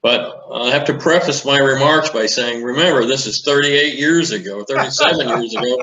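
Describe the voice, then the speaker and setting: A man in his eighties reminiscing in an interview, speaking in a measured, deliberate way. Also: mid-range voice, lo-fi sound, a crowd in the background. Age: 80s. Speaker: interview guest, retired naval commanding officer. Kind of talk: conversational reminiscence. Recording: echoey room sound.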